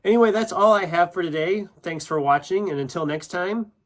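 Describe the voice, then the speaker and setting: A man's voice: sits high, brisk. Casual man chatting. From United States, Iowa. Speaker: YouTube book channel host. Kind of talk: casual chatty vlog, answering questions.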